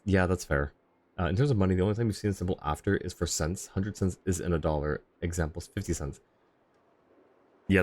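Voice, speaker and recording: A man's voice: low-pitched, dry recording. A man giving casual, wry livestream commentary on a video game in a conversational, chuckling way. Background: faint game music.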